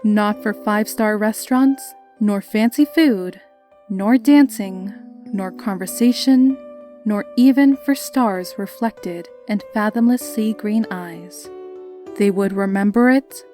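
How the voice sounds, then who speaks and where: A woman's voice: mid-range voice, slow, warm tone, soft background music. A woman reading scripted story narration.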